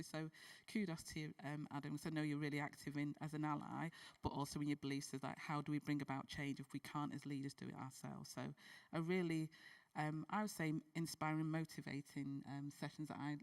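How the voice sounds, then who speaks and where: A woman's voice: deep voice, no echo, far from the mic. A woman panellist giving hesitant, appreciative reflections on a session at a conference. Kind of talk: reflective remarks. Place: conference hall.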